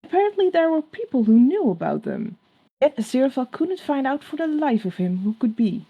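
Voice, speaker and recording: A woman's voice: high-pitched, sing-song, a little fast, husky, highs cut off. A woman reading her own piece of fan fiction aloud as a story.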